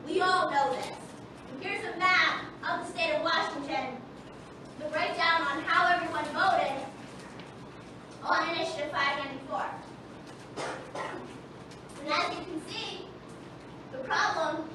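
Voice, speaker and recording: An American woman giving a public presentation to an audience as an organizer at a meeting. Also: extremely high pitch. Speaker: gun-rights organizer addressing a meeting. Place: indoor meeting room, picked up from afar. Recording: distant, echoey, noisy room recording.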